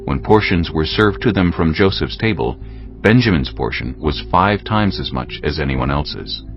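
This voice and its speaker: Low male narrator, expressive intonation, husky, scripture storytelling, music bed. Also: normal speed, muffled recording.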